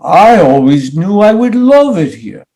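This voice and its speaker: A man plays a naive immigrant with a high, swooping voice. He speaks slowly in simple, formal phrases, proud and stubbornly hopeful, as in a stage drama. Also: very animated, dark tone, exaggerated voice. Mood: earnest, insistent. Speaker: stage actor. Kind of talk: dramatic play reading.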